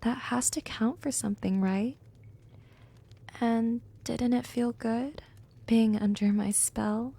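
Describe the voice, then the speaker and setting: A woman playing a smug, gently dominant female vampire in an intimate ASMR roleplay, speaking softly and often slipping into whispers, teasing and coaxing. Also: on the high side, fairly even intonation, slow pace, exaggerated voice. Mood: soothing. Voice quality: soft, breathy, often dropping into whispers.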